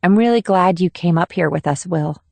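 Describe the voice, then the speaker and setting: A woman's voice: fairly low pitch, moderate pace, gravelly, darkish tone, dry recording. A woman playing an eager, pouty teenage girl in audiobook dialogue. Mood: gushing.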